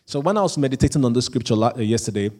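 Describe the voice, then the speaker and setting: A pastor preaching a sermon, often repeating and restarting phrases, and chuckling at himself now and then. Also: male voice, medium pitch, expressive. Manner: rises into louder, slower emphatic reading of scripture.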